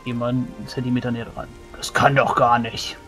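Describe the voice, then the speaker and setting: A man's voice: medium pitch, level tone, quick pace, a bit bright, soft background music, lightly acted. A man playing a blunt, knowing adventurer who talks colloquially in a tabletop roleplay. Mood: casual.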